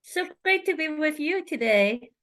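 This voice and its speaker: Slow, halting woman from Hong Kong. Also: high voice, lively intonation, fairly bright tone. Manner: halting, many fillers and restarts. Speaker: guest, feng shui consultant. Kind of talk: interview answers.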